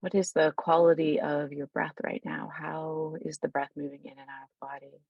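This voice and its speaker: A woman leading an unscripted guided meditation in a soothing, unhurried, informal way, pausing mid-thought as she goes. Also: deep voice, little pitch movement, gravelly, dry recording, muffled recording.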